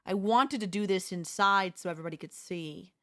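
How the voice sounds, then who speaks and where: A woman's voice: mid-range voice, fairly quick, fairly bright tone, natural voice. A young woman playing an obsessive, lovesick yandere neighbor in an intimate, intense audio roleplay. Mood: wounded, pleading. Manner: intimate, pleading, emotionally intense delivery. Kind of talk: ASMR audio roleplay acting.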